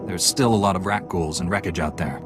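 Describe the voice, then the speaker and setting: An actor playing a calm young Jedi knight in scripted game cutscenes. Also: male voice, deep voice, brisk, natural voice. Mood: composed, firm. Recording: loud music under the dialogue.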